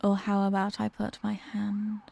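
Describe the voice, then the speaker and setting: A woman playing a seductive, dominant villain in an intimate ASMR roleplay, teasing and sultry, often slipping toward breathy whispers. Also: medium pitch, completely flat delivery, unhurried, dark tone. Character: seductive, dominant female villain toying with her hostage. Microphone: close microphone in a dry space.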